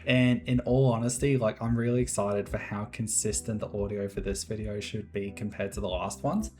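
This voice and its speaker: A man hosting his own Minecraft building videos, chatting casually in a rambling, monotone way and laughing often. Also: normal speed. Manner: monotone, fairly even pitch. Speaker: Minecraft builder and channel host. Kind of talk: casual gameplay commentary and voiceover.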